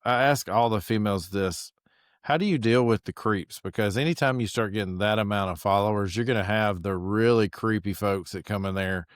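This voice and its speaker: A man's voice: fairly low pitch, fairly quick, clean studio sound. A man hosting a podcast, chatty and affirming, often echoing his guest's words. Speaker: podcast host. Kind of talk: casual interview talk.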